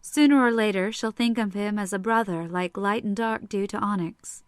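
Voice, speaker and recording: A woman's voice: medium pitch, normal speed, clean tone, no room sound, natural voice. A woman playing a caring, worrying but firm motherly wife in an audio drama. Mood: worried.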